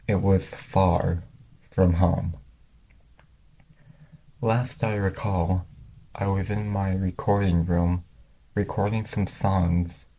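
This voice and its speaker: A man's voice: sits low, mellow, rough recording. A man narrating first-person fan fiction, reading it aloud as a storyteller.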